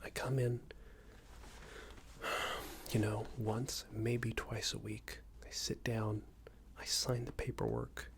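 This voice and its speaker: A man playing a smug, unreasonable boss in an ASMR roleplay, scolding an employee in a soft breathy whisper with a hesitant, condescending manner. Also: fairly even intonation, slow pace, noisy background. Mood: smug, self-pitying. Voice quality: breathy soft whisper with low creaky murmur. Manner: hesitant, condescending, meandering reprimand.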